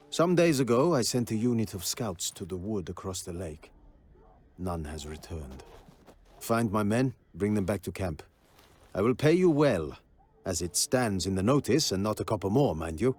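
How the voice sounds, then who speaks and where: An actor playing a stern, proud army quartermaster in a fantasy video game, speaking formally and precisely in a husky voice. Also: male voice, lowish voice, a little mellow.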